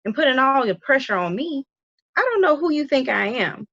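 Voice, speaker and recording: A woman's voice: sits high, big pitch swings, normal speed, fairly bright tone, no room sound, muffled recording, heavily acted. A woman playing a hurt, exasperated young wife in a dramatic table read, speaking emotionally. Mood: hurt, angry. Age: young adult. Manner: emotional, complaining.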